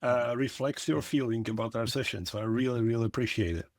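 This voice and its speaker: A middle-aged man, hosting a relaxed conversation in a thoughtful, lightly joking manner. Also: medium pitch, brisk, no room sound. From Czechoslovakia. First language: Czech. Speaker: podcast host. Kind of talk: casual conversational interview.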